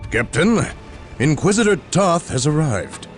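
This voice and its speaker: An actor playing a dutiful, wary space marine officer giving formal military reports in a game cutscene. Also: male voice, a little high, very animated, a little slow. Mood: businesslike. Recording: loud music behind.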